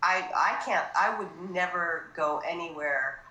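A woman from Greece talks as an interview guest, her voice a little low yet bright and forward. She speaks in loose, halting sentences full of ums and restarts, heard over a call with a roomy echo. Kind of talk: casual interview chat. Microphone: call audio.

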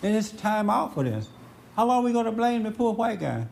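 A man's voice: sits high, big pitch swings, fairly quick, gravelly, a little mellow, a little hiss or hum. A man hosting an unscripted, opinionated group discussion. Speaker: host leading a group discussion.

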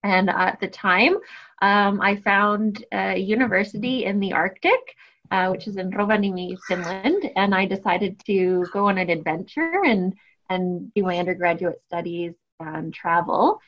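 A woman's voice: medium pitch, unhurried. A Canadian woman in her thirties answering interview questions in a friendly, fluent way, with frequent ums. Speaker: grant proposal manager. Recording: muffled lo-fi audio.

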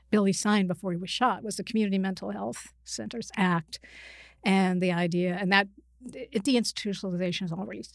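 A woman's voice: mid-range voice, dry recording, clean studio sound. A woman explaining things informally as an expert interview guest, often breaking off and rephrasing mid-sentence. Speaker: interview guest, psychiatrist and writer.

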